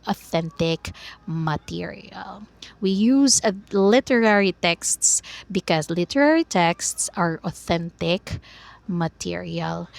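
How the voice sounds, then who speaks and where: A woman's voice: on the low side, vocal fry. A woman from the Philippines with a Filipino English accent, lecturing on teaching English and filling her talk with "uh" and "okay" checks. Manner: explanatory, frequent fillers and restarts, checks in with "okay". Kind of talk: recorded video lecture.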